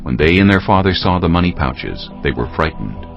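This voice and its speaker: A man's voice: sits low, sing-song, brisk, creaky. A man reading scripted narration for a dramatized audio Bible. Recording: slightly muffled, music behind.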